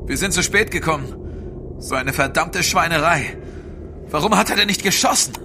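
Man acting high-pitched agitated explorer. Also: moderate pace, noisy background, close to an everyday voice. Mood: angry dismay. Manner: excited, exclamatory. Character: agitated, excitable expedition man. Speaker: actor in an audio drama. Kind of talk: German radio play acting.

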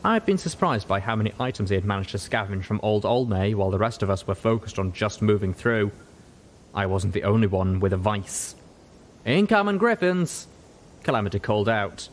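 A man's voice: on the low side, fairly quick, slight background noise. A man reading first-person fiction aloud as a scripted audiobook narration. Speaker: audiobook reader.

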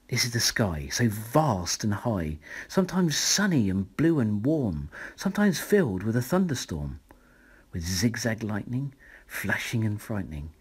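A man reads a rhyming bedtime story aloud with dramatic, highly varied intonation. His pace is slow and measured, and his voice has a soft, husky breathiness. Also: very animated. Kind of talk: expressive read-aloud of rhyming children's picture book.

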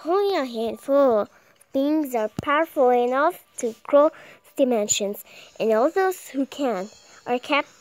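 A young woman reading a visual novel aloud, halting and stumbling over words as she narrates dramatically. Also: sits high, animated, no echo, poor audio. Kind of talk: dramatic read-aloud of game text. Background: music.

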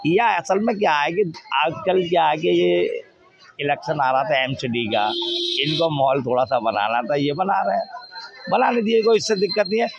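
A man's voice: a little high, lively intonation, moderate pace, vocal fry, crowd noise. A middle-aged man giving his opinion emphatically in a street interview, often asking rhetorical questions. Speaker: local resident interviewed on the street. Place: outdoors in a busy market street. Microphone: handheld reporter's microphone. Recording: dull, lo-fi street recording.

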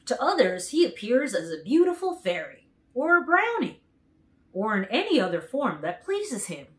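A woman voicing an emphatic, awed countryman who knows of a great wizard, in a deliberate storybook read-aloud. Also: on the high side, sing-song, voice pushed up. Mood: thoughtful, dramatic.

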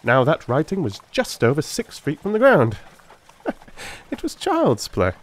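A man voicing a brisk, self-assured detective who lectures precisely and emphatically, in a dramatised Victorian audiobook. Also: fairly high pitch, wildly swooping pitch, pitched above the performer's own voice, exaggerated voice. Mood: confident, animated.

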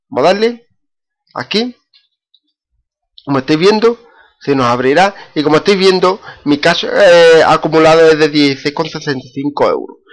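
Slow, step-by-step man. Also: a little high. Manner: slow, step-by-step, many "eh" fillers. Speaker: YouTuber. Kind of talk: screen-recorded tutorial.

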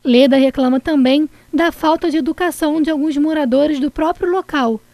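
A woman reporting on the news, narrating the story in a clear, measured voice-over. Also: sits high, mellow, no echo.

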